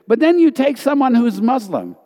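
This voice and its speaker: A man's voice: very high voice, very animated, normal speed, dark tone. A man giving an earnest, emphatic testimony in a church hall, telling stories from his missionary teaching. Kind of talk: sermon testimony. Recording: reverberant hall.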